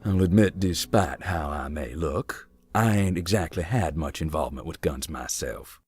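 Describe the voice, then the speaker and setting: A man playing a giant, easygoing, wry explorer in a visual novel, talking in a folksy, deadpan way. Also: extremely low pitch, normal speed, breathy edge, no echo, clean studio sound. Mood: dry, polite. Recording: music behind the voice.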